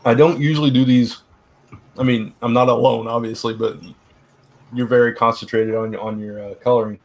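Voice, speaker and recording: A middle-aged man co-hosting a casual live stream, rambling with lots of fillers and restarts, his voice turning hoarse. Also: medium pitch, fairly even intonation, moderate pace. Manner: rambling, many fillers and restarts, laughs often. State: getting hoarse. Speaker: live stream co-host, publisher.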